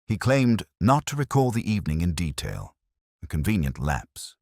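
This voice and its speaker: A man narrating a scripted first-person detective story as a brooding, reflective investigator, in a measured, literary manner. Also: low-pitched, big pitch swings, darkish tone, no room sound, clean studio sound. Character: melancholy, reflective male police detective telling his own case.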